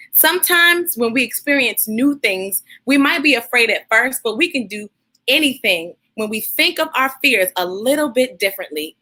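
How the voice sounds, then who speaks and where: A woman hosting a children's read-aloud, speaking in an animated, encouraging way straight to her young listeners. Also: a little high.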